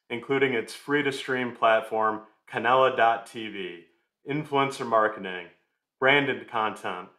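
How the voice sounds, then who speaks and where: Man, podcast host, mid pitch, friendly and loose, fillers, roomy echo. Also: fairly bright tone. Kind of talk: interview hosting.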